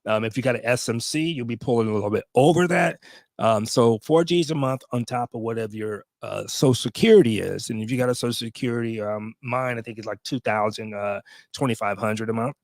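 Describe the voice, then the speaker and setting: A man's voice: medium pitch, fairly quick, no echo, clean studio sound. A man in his late fifties, a veteran vlogger talking casually to his viewers, rambling with many fillers and restarts. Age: 50s. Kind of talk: casual talk to camera.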